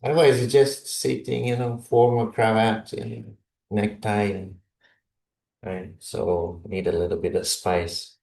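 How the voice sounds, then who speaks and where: This is a man born in Brazil with a low, deep voice, talking informally to a congregation before he preaches. He laughs often and keeps checking in with "right?" and "you know". The sound carries the echo of a large hall, picked up from some distance away. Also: level tone, measured pace, vocal fry, darkish tone. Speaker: visiting preacher. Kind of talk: casual chat with a congregation before a sermon. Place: church hall. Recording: echoey hall, mic from a distance.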